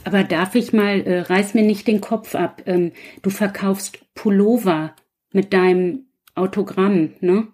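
Slow-talking woman in casual chat. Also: medium pitch, fairly even intonation, darkish tone. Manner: conversational, seeks agreement with tag questions. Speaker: podcast participant, author.

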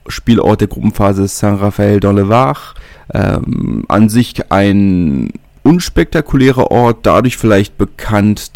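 A man's voice: fairly low pitch, creaky, a little mellow, no room sound. A man hosting a solo podcast, talking casually and thinking aloud with frequent hesitations and fillers. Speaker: podcast host.

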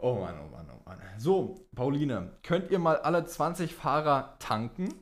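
Young male co-host, animated pitch swings, slangy casual banter with English words. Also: normal speed. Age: young adult. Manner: informal youth slang mixed with English words. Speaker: podcast co-host. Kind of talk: casual chat about motorsport, reading out listener questions.

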